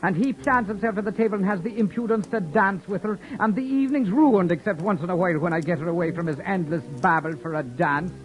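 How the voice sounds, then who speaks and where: A man acting a proud, sharp-tongued young wanderer who narrates his own tale in a 1940s radio drama, with a wry, sardonic telling. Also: high-pitched, fast, few pauses, natural voice, poor audio. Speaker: narrator and lead character. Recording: old radio broadcast with faint music.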